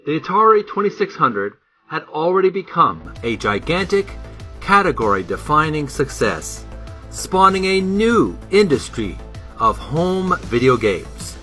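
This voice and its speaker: A man reading a book introduction aloud as narration, with deliberate, emphatic phrasing. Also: a little high, sing-song, pushed and bright, faint music behind.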